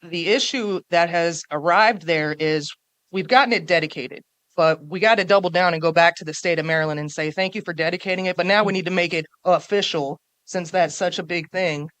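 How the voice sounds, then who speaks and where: A woman speaking on a panel before a live audience in a small café, forceful and emphatic, stacking up points and repeating them for weight. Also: lowish voice, brisk, dry recording, low-quality recording. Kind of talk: panel talk.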